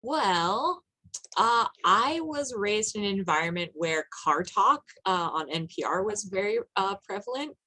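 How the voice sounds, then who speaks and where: A woman's voice: medium pitch, expressive, a little slow, pushed and bright, rough recording. A woman speaking as a panelist in a casual, reflective and unscripted way.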